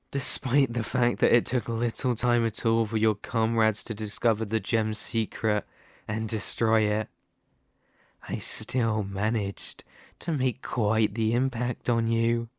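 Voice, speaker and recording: A dominant demon lord, acted by a man, speaks at a very slow, deliberate pace in a close, dry recording. He is gloating and menacing, taunting with drawn-out words and an unhinged edge, in the style of a fantasy ASMR roleplay. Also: lowish voice, no echo.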